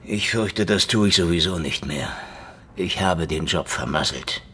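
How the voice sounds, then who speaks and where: Sardonic hardboiled secret policeman, animated pitch. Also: a little slow, slightly rough, heavily acted. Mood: resigned. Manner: sardonic, taunting, mock-polite. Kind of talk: hardboiled crime radio drama acting.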